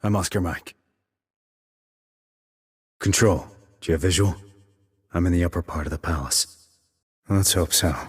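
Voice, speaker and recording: An actor playing a terse, cool-headed sniper operative in a video game, with clipped, controlled military delivery and a low husky voice. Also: male voice, unhurried, long pauses, no room sound, studio quality, full character voice. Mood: focused, controlled. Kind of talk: military radio chatter, game dialogue.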